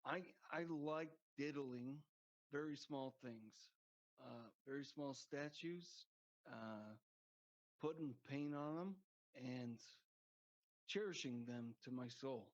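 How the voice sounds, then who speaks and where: A man's voice: medium pitch, takes it slowly. A man guesting on a podcast, joking crudely and rambling in casual chat. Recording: dull lo-fi sound.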